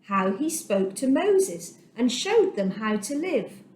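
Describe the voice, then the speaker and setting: A woman reading a children's Bible story aloud as a storyteller, with dramatic, deliberate phrasing and emphasis, recorded in an echoey room. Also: fairly high pitch, sing-song, a little mellow, a little hiss or hum.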